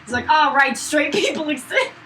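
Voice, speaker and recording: Bright, very high, excitable young-sounding female voice. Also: normal speed. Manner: excitable, dramatic. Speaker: let's play co-host. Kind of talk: casual banter with playful character readings. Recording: slightly noisy makeshift mic.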